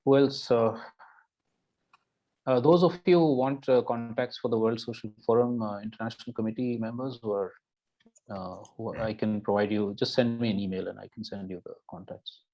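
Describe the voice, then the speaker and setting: A man moderates an online seminar over a call, his voice at a mid pitch. He speaks with frequent hesitations and uhs. The audio sounds dull and muffled. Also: steady tone, moderate pace, mellow.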